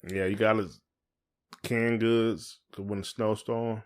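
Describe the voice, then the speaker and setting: A man's voice: fairly low pitch, flat delivery, slow pace, smooth. An American man co-hosting a podcast in casual chat, with informal, rambling, colloquial phrasing. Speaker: podcast co-host.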